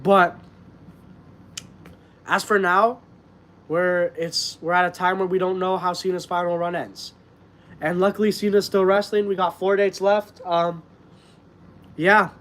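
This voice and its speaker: Young guy host, high pitched, congested voice, earnest rambling stream chat. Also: a bit bright. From Canada. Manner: earnest, rambling, many fillers. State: stuffed up. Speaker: wrestling channel host. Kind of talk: casual live-stream chat.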